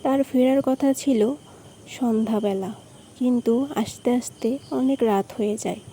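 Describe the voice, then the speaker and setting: Cartoon storytelling grandmother, played by a woman: higher-pitched, mellow, earnest, recalling a frightening night. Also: measured pace, a little hiss or hum. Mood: ominous, reminiscing. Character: village grandmother telling grandchildren a spooky memory.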